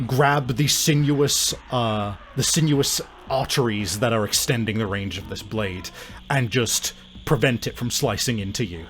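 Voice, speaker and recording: Male game master, medium pitch, slow halting narration of dark scenes over faint guitar music. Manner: halting, deliberate, searching for words. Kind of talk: improvised narration of a tabletop horror game.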